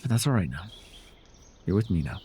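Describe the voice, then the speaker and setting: A man playing a proud, sardonic telepathic supervillain with hidden tenderness in an ASMR roleplay, theatrical and condescending with a husky voice. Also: sits low, big pitch swings, fairly quick, dark tone, slight background noise. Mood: tender, reassuring. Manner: theatrical, grandiose, flustered, dryly condescending.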